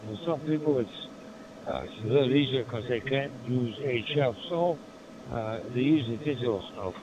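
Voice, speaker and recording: A man's voice: medium pitch, moderate pace. A man, a radio amateur keeping his turn short and polite over a radio contact. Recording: muffled noisy radio audio.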